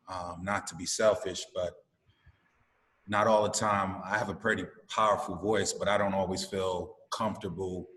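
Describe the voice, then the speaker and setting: A man coach speaking at a town hall discussion, measured and searching for his words. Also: low voice, a bit bright. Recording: echoey room with long reverb.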